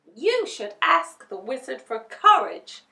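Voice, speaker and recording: A woman playing a shy but plucky young girl in a storybook, earnest and expressive. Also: high-pitched, takes it slowly, pitched above the performer's own voice, heavily acted. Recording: roomy, distant microphone.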